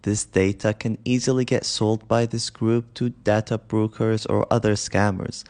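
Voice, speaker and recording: Low-pitched man narrating. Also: flat delivery, a little slow, a little mellow. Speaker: host of scam-exposing video channel. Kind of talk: scripted narration.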